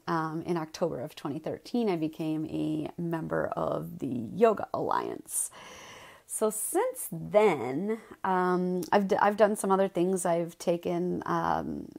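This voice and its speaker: Woman with a Wisconsin accent, thirties, yoga teacher, chatty podcast monologue, fry in the voice, fairly low pitch. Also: darkish tone. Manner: chatty, many ums and likes. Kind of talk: casual personal podcast monologue.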